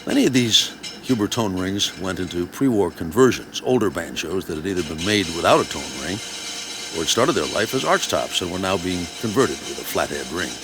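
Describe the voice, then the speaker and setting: Deep, lively catalog narrator. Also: male voice, brisk, noisy background. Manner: smooth promotional delivery. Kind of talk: scripted narration. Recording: music bed under voice-over.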